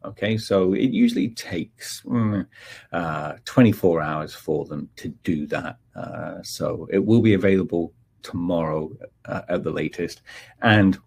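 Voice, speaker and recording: Deep gravelly man hosting a webinar. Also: a little slow, a little mellow. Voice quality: polite, chatty, frequent ums and uhs. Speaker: technical webinar presenter. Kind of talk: live webinar introduction.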